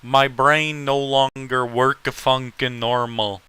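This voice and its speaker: Blunt, profane man with a bright, crisp voice. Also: mid-range voice, steady tone, takes it slowly. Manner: profane, blunt, opinionated ranting. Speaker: host. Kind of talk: casual rambling livestream chat with friends.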